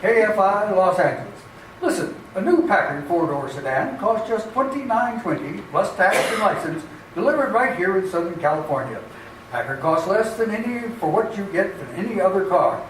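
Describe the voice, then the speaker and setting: Casting: male actor as eager vintage car-ad announcer, high-pitched, distant, very reverberant, faint hiss. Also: lively intonation, moderate pace. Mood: eager selling. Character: brisk, eager 1950s radio car-ad pitchman. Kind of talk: old-time radio advertising. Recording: very echoey, distant stage recording.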